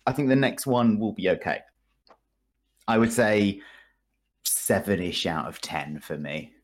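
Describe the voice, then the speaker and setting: A man with a somewhat low voice talks as a guest on a podcast. He gives informal opinions in loose, conversational phrasing. He often hedges and pokes fun at himself. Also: a little slow. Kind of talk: casual chat, informal game review.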